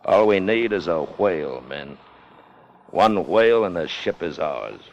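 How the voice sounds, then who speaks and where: An actor playing a ruthless, commanding ship's officer who plots mutiny for gold, in a forceful 1950s radio drama style. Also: male voice, sits low, very animated, unhurried, muffled recording, full character voice. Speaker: lead character and narrator. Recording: noisy vintage 1950s radio recording.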